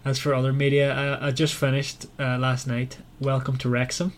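A man's voice: medium pitch, level tone, moderate pace. A man co-hosting a podcast, chatting casually about books in hesitant, rambling phrasing. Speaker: podcast co-host. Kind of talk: casual book chat. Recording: clear background noise.

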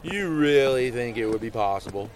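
A man's voice: medium pitch, lively intonation, normal speed, lightly acted. A man playing a cocky, slightly tipsy nerd who argues emphatically in an audio drama. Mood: argumentative. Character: Brandon, tipsy cocky nerdy flirt.

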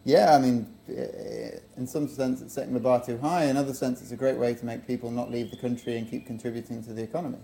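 English man, young adult, mellow warm tone, laid-back storytelling, podcast guest. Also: medium pitch, fast talker, creaky, hardly any pauses, slight background noise, rough recording. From England. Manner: relaxed storytelling with frequent fillers. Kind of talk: casual interview.

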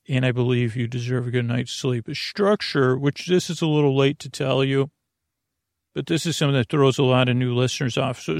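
Gravelly, creaky-voiced man rambling at bedtime. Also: mid-range voice, no echo, clean studio sound. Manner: meandering, halting, digressive. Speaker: podcast host. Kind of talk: rambling bedtime podcast monologue.

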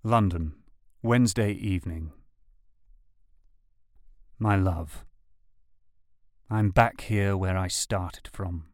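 A man's voice: low voice, slow pace, long pauses, no room sound, clean studio sound. A man reading love letters aloud as a lovesick, anguished writer longing for an absent lover, with heartfelt, literary phrasing.

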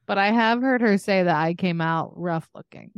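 A young woman co-hosting a podcast, chatting casually and unscripted with a friend as she rambles and thinks out loud. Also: mid-range voice, moderate pace, smooth, dry recording, lo-fi sound. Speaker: podcast co-host.

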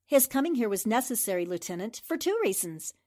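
A woman acts out a confident newspaper reporter turned sleuth in an old-time radio play. Her voice sits fairly high and moves in lively swoops. She explains things crisply and with total assurance. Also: brisk. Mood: confident deduction. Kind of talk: 1940s radio drama acting.

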